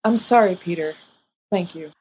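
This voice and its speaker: A woman's voice: on the low side, normal speed, darkish tone, slight background noise, close to an everyday voice, rough recording. Woman voicing an anxious, earnest schoolboy. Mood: subdued. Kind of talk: audiobook character reading.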